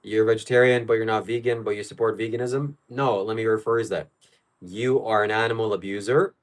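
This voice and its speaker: A man's voice: lowish voice, steady tone, brisk. Blunt, opinionated man from Ukraine. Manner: blunt, opinionated, answers chat questions aloud. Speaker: live stream host. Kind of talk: casual live chat reading viewer questions.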